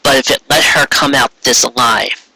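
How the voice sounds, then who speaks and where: A man narrating a story in an expressive, dramatic storybook style, with the odd aside. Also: medium pitch, normal speed, creaky, husky, dry recording, low-quality recording. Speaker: fan fiction reader.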